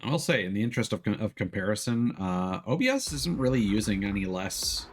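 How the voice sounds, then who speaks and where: A man chats casually through a game livestream, rambling into joking asides. His pitch moves around in a lively way, and faint music plays behind him. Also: brisk. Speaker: game streamer. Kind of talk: casual livestream commentary while playing.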